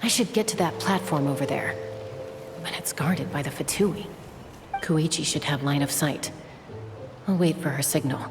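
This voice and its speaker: Woman acting a low-voiced, confident young female scout. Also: normal speed, pauses often, lightly acted. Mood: focused. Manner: cool, matter-of-fact, tactical. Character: confident, capable young tribeswoman scout, protective older sister. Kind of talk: video game voice acting. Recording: game music behind the voice.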